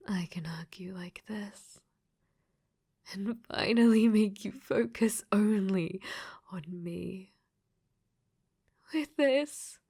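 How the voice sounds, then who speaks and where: Woman playing a possessive female game AI, unhurried delivery, breathy and whispery, sweetly menacing, clingy, ASMR roleplay. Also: mid-range voice, darkish tone. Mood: adoring, possessive. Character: possessive, clingy female dating-sim AI in robot body. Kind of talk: ASMR audio roleplay acting.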